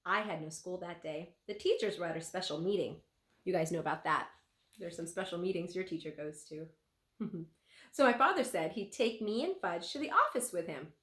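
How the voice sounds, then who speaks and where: A woman reading a children's book aloud as a teacher would, with an expressive, animated delivery. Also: lowish voice, moderate pace. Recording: roomy, echoing room sound.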